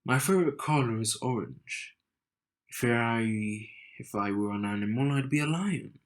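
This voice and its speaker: Slow-talking, teasing young groom, played by a man. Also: medium pitch, close to an everyday voice. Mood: playful. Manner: smug, teasing, unhurried delivery with dramatic pauses. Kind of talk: romantic audio roleplay addressed to the listener.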